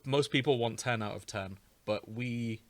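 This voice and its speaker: A man's voice: mid-range voice, normal speed, dry recording. A British man hosting a podcast in casual, jokey chat with his co-hosts, sometimes with theatrical emphasis. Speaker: podcast host.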